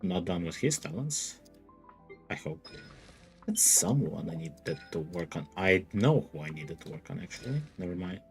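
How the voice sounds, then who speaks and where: Male streamer, low voice, rambling, self-talk, faint music behind. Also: lively intonation, normal speed, creaky. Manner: rambling, thinks aloud, laughs often. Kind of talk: casual gaming livestream chatter.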